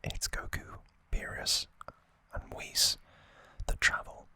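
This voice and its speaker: ASMR host, fully whispered and breathy, slow delivery in short hushed phrases, crisp and very bright. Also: dry recording. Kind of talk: ASMR whispered anime recap and trivia.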